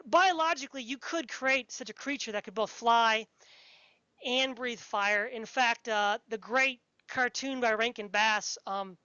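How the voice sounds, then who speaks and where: A woman with a fairly high voice talks casually but insistently, laying out opinions in a pedantic, emphatic way. The sound is dry and a little dull, like lo-fi call audio. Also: a little slow, pushed and bright, no room sound. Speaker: podcast speaker discussing fantasy.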